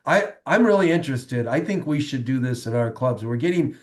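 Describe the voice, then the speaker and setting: A man hosting a casual podcast chat, upbeat and chatty with a slightly raspy voice. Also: mid-range voice, lively intonation, brisk. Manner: upbeat, chatty, frequent fillers. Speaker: podcast host.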